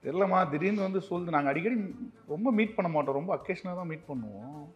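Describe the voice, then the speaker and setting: Quick, higher-voiced man, slightly muffled sound. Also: animated. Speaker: husband making a prank phone call. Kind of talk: casual phone conversation. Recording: dull band-limited sound, music behind.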